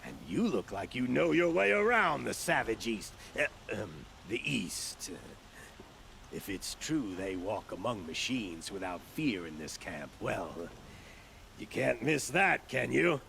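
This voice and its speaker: Creaky, shifty game-world opportunist. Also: male voice, lowish voice, very animated, takes it slowly, bright, forceful tone, slight background noise, heavily acted. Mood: coaxing. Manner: hesitant, stammering, throat-clearing, sly salesman patter. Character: shifty, blustering male opportunist merchant-gambler, bargaining and flattering. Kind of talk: video game dialogue acting.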